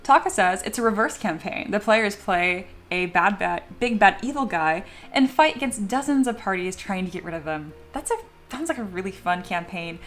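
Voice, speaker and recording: A woman's voice: mid-range voice, lively intonation, moderate pace, fairly bright tone. A young adult woman chatting casually on a live stream while she sculpts at home, reading viewer messages aloud and laughing often. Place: home studio.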